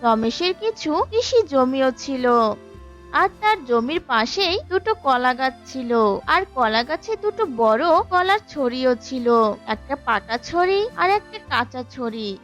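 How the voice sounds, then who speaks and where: A woman's voice: high-pitched, big pitch swings, takes it slowly, fairly bright tone, faint music behind. A woman narrating a scripted children's story for a cartoon.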